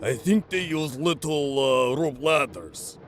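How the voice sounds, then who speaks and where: Man acting obliging cartoon crook, hesitant, deferential, animated pitch, faint noise. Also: a little slow. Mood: bluffing. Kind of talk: comic dub cartoon acting.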